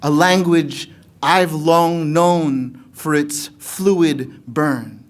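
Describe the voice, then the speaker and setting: A middle-aged American man speaks as a poet at a live reading. His voice sits high for a man, and his delivery is expressive and emphatic. He talks into a podium microphone in an indoor room. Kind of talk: casual introduction and poetry reading to an audience. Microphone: podium gooseneck microphone.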